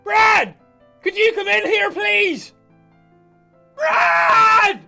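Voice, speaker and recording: Someone doing a fussy excitable sketch boss, super sharp shrill voice, bit creaky, faint music behind. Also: extremely slow, heavily acted. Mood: shouting, pleading. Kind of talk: comedy audio sketch acting.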